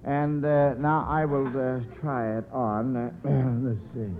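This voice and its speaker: An American man hosting a radio quiz show, ad-libbing haltingly with plenty of hems and haws. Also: mid-range voice. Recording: old radio broadcast, narrow band, faint noise.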